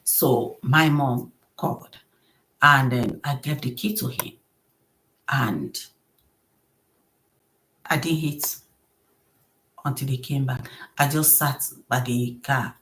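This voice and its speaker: A woman with a soft voice, earnestly telling an emotional personal story as a podcast host. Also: rumbling low, fairly even intonation, slow, long pauses, slight background noise, poor audio. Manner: earnest, emotional, acts out quoted speech.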